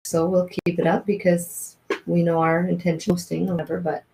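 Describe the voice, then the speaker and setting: A woman's voice: fairly low pitch, level tone, brisk, creaky, noisy background. A woman co-hosting a casual livestream, chatting and reading viewer comments aloud. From Wisconsin. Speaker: co-host of a livestream.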